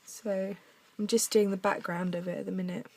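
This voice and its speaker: A young woman with a croaky voice from a cough, chatting through a henna craft demonstration at her desk at home. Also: medium pitch, fairly even intonation, normal speed. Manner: chatty, talks while drawing, drops to soft mutters. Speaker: craft tutorial maker.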